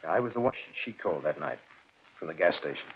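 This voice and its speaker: A cold, deep-voiced murderous lieutenant. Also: male voice, fast. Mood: cold calm. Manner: calm, low, matter-of-fact. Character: cold, calculating police lieutenant hiding a murder. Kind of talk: 1940s radio drama acting. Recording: tinny, faintly hissy old radio recording.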